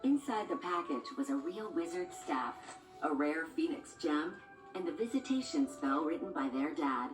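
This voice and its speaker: A woman's voice: deep voice, expressive, normal speed. A woman reading a scripted children's storybook as a read-along narrator, with music behind her. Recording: roomy, distant sound with music underneath.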